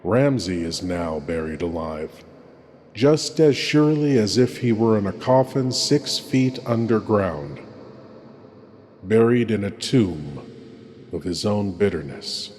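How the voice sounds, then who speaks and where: A man playing a sinister, wry horror host, narrating in a theatrical, deliberate and ominous manner. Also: on the low side, lively intonation, a little mellow. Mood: wryly ominous. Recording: long cavernous reverb with music.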